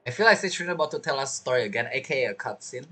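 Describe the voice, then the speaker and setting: A woman's voice: extremely low pitch, expressive, very fast, a bit bright, husky, faint music behind. A woman giving casual, teasing commentary as she reacts to a game. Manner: joking, teasing. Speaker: game streamer.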